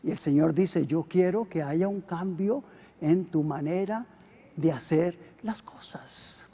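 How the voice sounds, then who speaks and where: A middle-aged Costa Rican pastor preaching a sermon, swinging between loud emphatic peaks and quiet low asides. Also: male voice, takes it slowly, breathy edge. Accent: Costa Rican Spanish. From Costa Rica. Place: church hall. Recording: long hall reverb.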